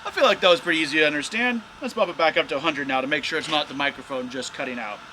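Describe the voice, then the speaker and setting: Guy doing a casual gear test, kinda high sharp voice, bit of noise behind him. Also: very fast. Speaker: drone gear reviewer and host. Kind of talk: casual product test commentary.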